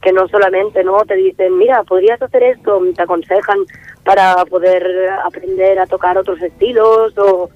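Relaxed singer from Barcelona. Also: female voice, mid-range voice, moderate pace, no echo, narrow, tinny audio. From Barcelona, Spain. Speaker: singer, guest. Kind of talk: radio interview answers.

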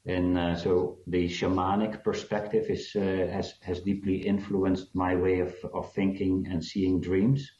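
A man's voice: sits low, little pitch movement, unhurried, hardly any pauses, warm tone. A man from South Africa, a guest author, answering interview questions in a hesitant, reflective way with many fillers. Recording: dull, roomy sound.

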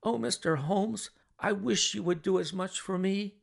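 A man's voice: sits high, unhurried, vocal fry, pitched above the performer's own voice, exaggerated voice. A man voicing a flustered, chatty young woman who speaks eagerly and emphatically. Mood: earnest.

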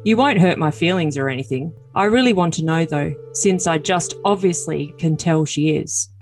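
A woman's voice: low voice, normal speed, darkish tone, faint music behind. A woman voicing an earnest, defensive, lovesick young man in a dramatic reading of text messages. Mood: earnest, hesitant. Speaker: podcast cast reader.

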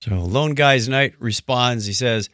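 A man co-hosting a casual podcast, reading listener comments aloud with a jokey, animated manner. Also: mid-range voice, a little slow, dry recording, clean studio sound. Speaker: podcast co-host.

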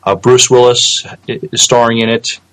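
A man co-hosting a podcast, chatting casually about news with hesitant, halting phrasing. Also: mid-range voice, flat delivery, a bit bright, smooth, rough recording. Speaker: podcast co-host. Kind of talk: casual movie news chat.

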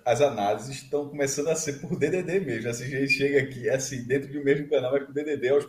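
A man co-hosting a live podcast in casual chat, animated, quick, and apt to talk over others. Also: mid-range voice. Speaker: podcast co-host. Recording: echoey room.

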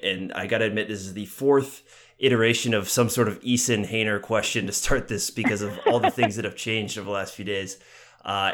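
An American man with a fairly low-pitched voice speaks as a podcast host. He puts questions to a guest in a conversational sports interview style. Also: fairly bright tone. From Seattle, United States.

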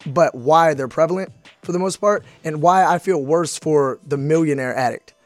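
A man's voice: on the high side, moderate pace, no room sound, soft background music. An American man hosting a casual studio podcast, talking in a blunt, informal way. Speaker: podcast host.

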